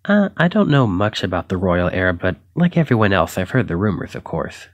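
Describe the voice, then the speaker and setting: A man's voice: sits low, sing-song, moderate pace, no room sound. A man acting a shy, flustered, self-doubting young knight in an ASMR roleplay, stammering and hesitant as he apologizes. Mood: anxious, self-doubting.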